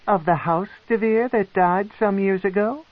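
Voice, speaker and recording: A man voicing a cold, commanding female dark elf matriarch with an imperious, snarling manner. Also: high voice, moderate pace, no room sound, phone-quality sound, pitched above the performer's own voice, natural voice.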